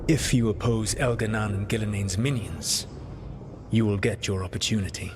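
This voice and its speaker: Game role: ancient elven rebel leader , male actor. Lowish pitch, solemn, deliberate and formal counsel over noisy ambience. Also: fairly even intonation, close to an everyday voice. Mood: calculating, warning. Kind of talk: dramatic video game dialogue.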